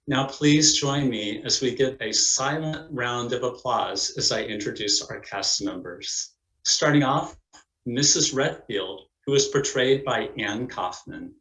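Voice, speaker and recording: Guy doing formal scripted narration, medium pitch, roomy echo, measured like an event announcer. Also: fairly bright tone. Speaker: narrator and presenter of a community play. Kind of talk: scripted narration and cast introductions.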